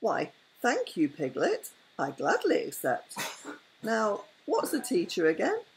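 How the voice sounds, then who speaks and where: A woman's voice: mid-range voice, wildly swooping pitch, slow, full character voice, low-quality recording. A grandmother voicing a simple, kindly bear in a bedtime storybook reading. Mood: innocent.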